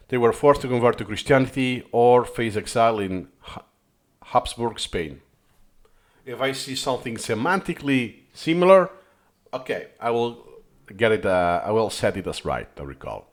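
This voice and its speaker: A man whose first language is Catalan talks through a video in a voice-over, and his pitch rises and falls a lot. He thinks aloud and digresses. He often corrects himself mid-sentence. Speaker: video host reviewing footage.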